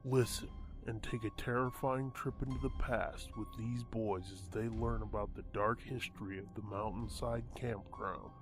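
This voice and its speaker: A man with a fairly middle-pitched voice delivers scripted, dramatic trailer narration. Music plays clearly behind him as he announces.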